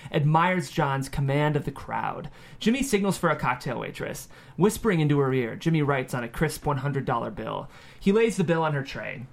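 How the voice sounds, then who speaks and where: A man's voice: a little high, vocal fry. A man reading out the stage directions as narrator at a screenplay table read.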